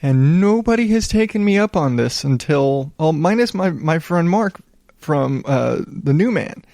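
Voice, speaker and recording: A man hosting a solo podcast in a smooth voice, chatting casually in a low-key, rambling, self-deprecating way with some swearing. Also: lively intonation, unhurried, darkish tone, dry recording, clean studio sound. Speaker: podcast host.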